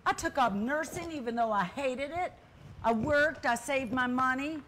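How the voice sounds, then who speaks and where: Woman playing a wistful, high-voiced old lady, noisy recording. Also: moderate pace, lightly acted. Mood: defiant. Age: older. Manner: earnest, pleading, argumentative. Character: wistful, stubborn older sister yearning for late-life adventure. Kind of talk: stage play dialogue.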